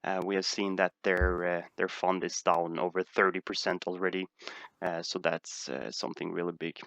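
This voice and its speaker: Low bright-toned man with a Swedish accent. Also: steady tone, moderate pace, gravelly, no room sound. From Sweden. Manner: hesitant, many "uh" fillers and restarts. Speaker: stock market streamer. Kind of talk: casual livestream Q&A.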